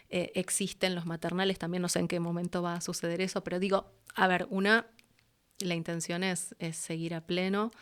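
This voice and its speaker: A woman's voice: on the low side, smooth. A woman with an Argentine accent, talking candidly and casually as an interview guest, thinking aloud as she goes. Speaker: interview guest, voice actress and producer.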